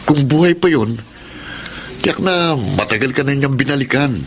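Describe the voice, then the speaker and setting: An actor playing a pushy, obsessive suitor in a radio drama, insistent and forceful in manner. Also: male voice, mid-range voice, expressive, normal speed, slight background noise, lightly acted.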